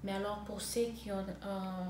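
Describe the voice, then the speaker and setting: A woman in her twenties, from Congo and raised in South Africa, answering interview questions slowly with many pauses and restarts. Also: medium pitch, flat delivery, a little mellow, far from the mic, a little hiss or hum. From Congo (DRC), raised in South Africa. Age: 20s. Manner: hesitant, many fillers and restarts. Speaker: interviewee, master's student. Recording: echoey room with faint ambience.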